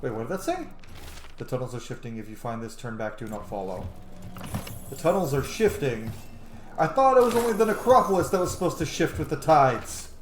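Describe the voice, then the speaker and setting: A man giving casual, animated gameplay commentary as a streamer, rambling into asides and swearing freely. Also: medium pitch, a little fast, echoey room, slight background noise. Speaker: game streamer on own channel. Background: game music.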